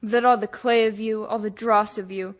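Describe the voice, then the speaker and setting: A woman's voice: medium pitch, fairly even intonation, moderate pace, close to an everyday voice. A woman playing a devout, tender spinster schoolteacher, pleading earnestly in a radio play monologue. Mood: prayerful.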